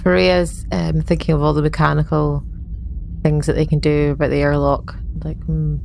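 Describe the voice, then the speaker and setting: A woman's voice: deep voice, little pitch movement, normal speed, vocal fry, darkish tone, low-quality recording. A woman talking casually as a player in a tabletop roleplaying game. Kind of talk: casual talk about her game character. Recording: faint background noise.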